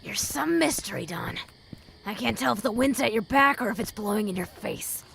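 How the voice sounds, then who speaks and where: A heavily creaky, world-weary ghoul woman, played by an actress in a post-apocalyptic audio drama. She is blunt and protective, giving earnest counsel like a seasoned drifter. There is a faint noise behind her. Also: on the high side, lively intonation, moderate pace, fairly bright tone, exaggerated voice. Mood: grim, blunt. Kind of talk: fan audio drama acting.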